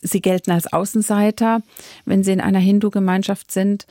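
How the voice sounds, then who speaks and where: A woman interviewing a guest, asking calm, measured questions. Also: mid-range voice, dry recording, studio quality.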